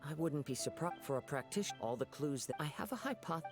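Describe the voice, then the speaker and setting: An actor playing a calm young wandering samurai in a video game, speaking in a measured, formal, reflective way. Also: male voice, fairly high pitch, loud music behind the voice. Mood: solemn.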